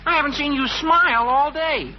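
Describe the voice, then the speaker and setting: An actor playing an overeager, florid American teenage schoolboy in a 1950s radio sitcom. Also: male voice, very high-pitched, normal speed. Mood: chummy. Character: eager, blabbermouth teenage high-school boy, florid and flattering. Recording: dull 1950s radio recording, faint noise.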